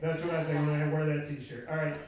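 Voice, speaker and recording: A man hosting a casual live on-stage interview, joking and encouraging in an animated way. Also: a little high, quick pace. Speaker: show host. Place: pub stage, in front of an audience. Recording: echoey pub, distant mic, crowd chatter.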